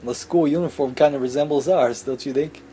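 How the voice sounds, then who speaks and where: A man voicing a bookish, zealous high-school boy in a visual novel, preachy and insistent about anime. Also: fairly quick, dark tone, a little hiss or hum, natural voice.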